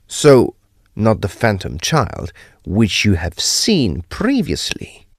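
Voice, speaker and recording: A man playing a decisive, inquisitive gentleman detective of the occult in a period Gothic audio drama, with grand theatrical delivery. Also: lowish voice, wildly swooping pitch, slightly rough, no echo, studio quality, heavily acted. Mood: probing. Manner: grand, deliberate, theatrical exclamations.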